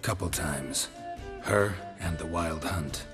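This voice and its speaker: A man playing a stoic, world-weary monster hunter in a video game, speaking in terse deadpan lines with a gruff, gravelly voice. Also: sits low, takes it slowly. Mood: somber, guarded. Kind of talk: video game cutscene acting. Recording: music behind the dialogue. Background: clear music.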